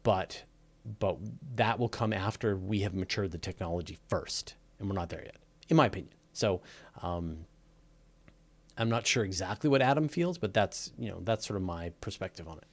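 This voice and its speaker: A man with a fairly low voice speaks as the host of a live Q&A. He explains things casually and off the cuff, giving firm opinions. He leans on repeated phrases to drive his points home. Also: moderate pace. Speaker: host of a live space and astronomy Q&A.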